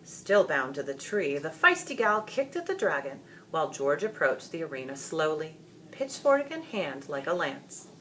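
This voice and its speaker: A woman with a low, bright voice narrates a children's book theatrically. She reads slowly and expressively, and there is faint noise behind her. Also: very animated, rough recording. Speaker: storyteller reading a children's book aloud. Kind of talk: dramatic scripted narration.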